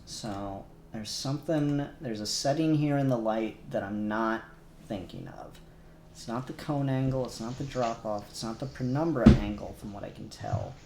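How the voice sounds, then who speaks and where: A man's voice: on the low side, lively intonation, moderate pace, creaky, warm tone, a little hiss or hum. A man co-hosting a casual livestream, muttering to himself and trailing off as he works. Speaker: livestream co-host. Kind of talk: casual livestream chat while working. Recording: echoey room.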